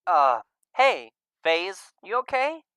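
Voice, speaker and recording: A man voicing an awkward, guilt-ridden young male furry in a visual novel, speaking in a hesitant, halting way. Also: high voice, big pitch swings, bright, forceful tone, smooth, dry recording, pitched above the performer's own voice, exaggerated voice. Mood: flustered.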